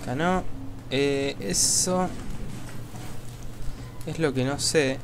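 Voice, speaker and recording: A man's voice: a little high, drawn-out words, gravelly, noisy background. Rioplatense man thinking aloud over a game. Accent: Rioplatense Spanish. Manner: casual, swearing, thinking aloud. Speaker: gaming video host. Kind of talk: let's play commentary.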